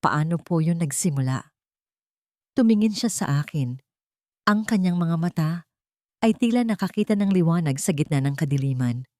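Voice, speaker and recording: Low-pitched woman narrating a dramatic story. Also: expressive, normal speed, dark tone, no echo, clean studio sound. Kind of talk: scripted first-person narration of a melodrama.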